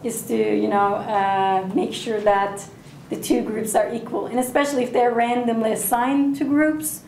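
A woman's voice: fairly high pitch, fairly even intonation, moderate pace, darkish tone, a little hiss or hum. A young woman presenter patiently explaining her research methods to an audience. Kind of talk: academic Q&A answer. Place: seminar room, indoors. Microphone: far camera. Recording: echoey room.

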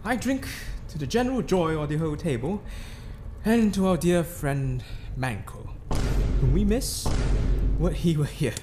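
A man's voice: a little high, animated, unhurried. A man playing a guilt-haunted, boastful and terrified king in a theatrical reading of a Shakespearean tragedy. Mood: forced cheer. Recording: noisy amateur recording.

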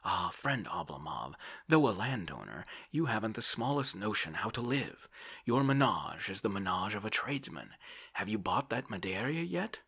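Man reading as a brash, hectoring bully, bright and forceful. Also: fairly low pitch, very animated, moderate pace, breathy edge, pitched above the performer's own voice, exaggerated voice. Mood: scornful, demanding. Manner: blustering, scolding, bossy. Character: brash, bullying, grasping Russian man, hectoring his friend. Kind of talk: audiobook character voice.

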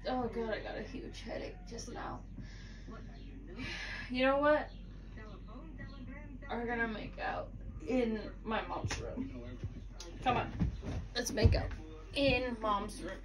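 Woman, fairly high voice, very slow and halting, amateur tipsy ASMR roleplay, clearly noisy recording. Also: a crowd in the background. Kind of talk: improvised ASMR girlfriend roleplay, playing tipsy. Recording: noisy home recording with clicks.

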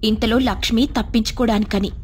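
A woman's voice: on the high side, fairly even intonation, brisk, slight background noise. A woman storyteller reading a scripted moral tale as narration.

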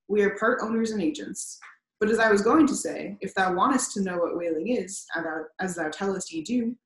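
A woman doing a gruff old sea captain. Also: medium pitch, roomy sound, natural voice, low-quality recording. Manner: blustering, teasing, archaic speech.

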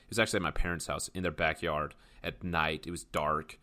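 A man's voice: extremely low pitch, level tone, a little fast, bright, forceful tone. A young man in casual podcast chat, rambling and filling his talk with "like". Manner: rambling, heavy use of "like". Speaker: podcast co-host, guest.

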